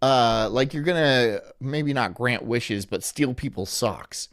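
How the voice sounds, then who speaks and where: A young man from Florida chatting casually on a live stream, rambling through jokes and deadpan absurd asides. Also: medium pitch, slow pace, dry recording, clean studio sound. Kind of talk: casual live-stream chat reacting to a video.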